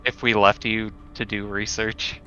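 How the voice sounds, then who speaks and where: A man playing a tabletop roleplaying game, speaking casually in character in a hesitant, measured way. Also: fairly low pitch, flat delivery, pushed and bright. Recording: dull audio, music behind.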